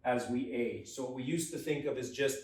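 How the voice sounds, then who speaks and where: A man's voice: mid-range voice, fairly quick, a little mellow, a little hiss or hum. A man coaching, explaining a lesson in a conversational way and stressing key words. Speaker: neuroperformance coach. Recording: roomy echo, mic at a distance.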